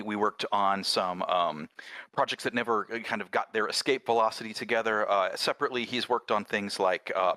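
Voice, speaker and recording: A man with a low, bright voice talks in casual discussion. He sounds articulate and thoughtful, and the sound is close and dry. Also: no room sound. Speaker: game creator discussing his project.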